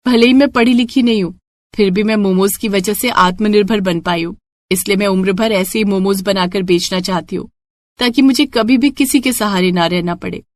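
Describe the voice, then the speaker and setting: A woman's voice: mid-range voice, moderate pace, no echo, natural voice. A woman voicing a devoted, self-reliant young daughter in a cartoon story, earnest and respectful. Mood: determined. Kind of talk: Hindi moral cartoon story acting.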